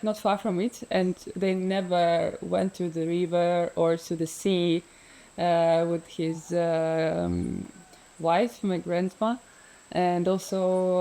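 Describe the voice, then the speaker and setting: A woman's voice: fairly low pitch, dark tone, low-quality recording. A woman from Ukraine, likely in her thirties, speaking as an interview guest, hesitant with many fillers and frequent laughs. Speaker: freediver guest. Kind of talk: relaxed interview conversation.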